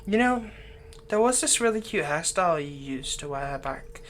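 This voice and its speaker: A man playing a doting, lightly teasing fantasy villain captor in an ASMR roleplay, speaking softly and tenderly, coaxing the listener without hurry. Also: fairly high pitch, animated, a little hiss or hum. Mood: tender, earnest. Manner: soft, coaxing, unhurried, tender.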